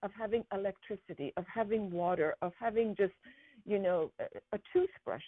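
A woman whose first language is Persian, a guest talking earnestly about her charity work, with many pauses and fillers. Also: medium pitch, no room sound. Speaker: guest, school founder. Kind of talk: interview conversation. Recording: tinny phone line.

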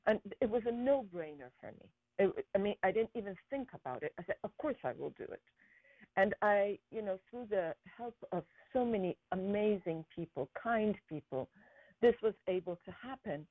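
A woman whose first language is Persian, a guest talking earnestly about her charity work, with many pauses and fillers. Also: mid-range voice, animated, no room sound. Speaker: guest, school founder. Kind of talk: interview conversation. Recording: tinny phone line.

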